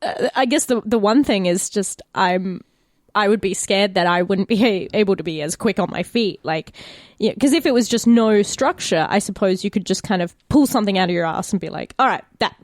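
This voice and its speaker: A woman's voice: medium pitch, a little fast, no echo, clean studio sound. An Australian woman in casual podcast chat, speaking informally and hesitantly as she thinks aloud. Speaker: podcast guest, tabletop player and actor.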